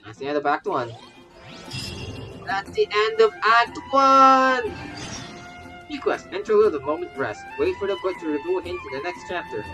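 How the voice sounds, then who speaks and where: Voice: medium pitch, animated, normal speed, pauses often, rough recording. A young adult streamer giving casual, rambling gaming commentary and often repeating phrases. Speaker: streamer, virtual YouTuber. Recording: voice over game music. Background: soft game music.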